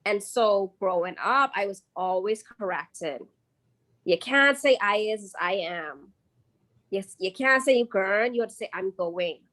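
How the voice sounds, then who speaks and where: A woman's voice: medium pitch, expressive, measured pace, a bit bright. A young woman with a Bahamian accent, answering interview questions in a chatty way with lots of fillers and restarts. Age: young adult. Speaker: guest artist.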